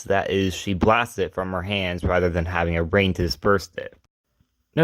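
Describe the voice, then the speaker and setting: Low-voiced man narrating a retrospective. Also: no echo. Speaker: host of a character retrospective video series. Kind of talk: informal scripted retrospective narration.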